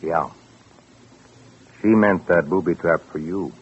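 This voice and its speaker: A gruff police lieutenant, very deep and heavily rasped, speaking in blunt, clipped phrases. It is vintage radio drama with a dull sound. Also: male voice, slow, exaggerated voice. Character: gruff, matter-of-fact male homicide police lieutenant. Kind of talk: 1940s radio drama acting.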